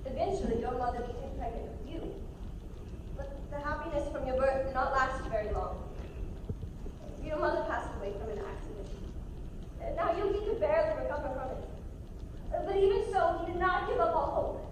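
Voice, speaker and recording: In a big, very echoey theatre, heard from far off, an earnest, grieving grandmother acted by a young woman tells her family's story on stage. The voice is very high and deliberate, in an amateur play. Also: animated, background noise. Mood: earnest, sorrowful. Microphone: distant camera in the audience. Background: music.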